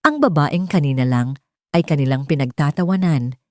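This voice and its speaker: A woman's voice: low voice, moderate pace, mellow, no echo, clean studio sound. A woman narrating a scripted dramatic story in a solemn, sentimental manner.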